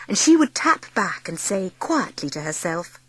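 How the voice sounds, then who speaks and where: Expressive, lively woman narrator, slightly husky. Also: medium pitch, normal speed, no room sound, low-quality recording. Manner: expressive storytelling. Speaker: audiobook narrator. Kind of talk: dramatised children's story narration.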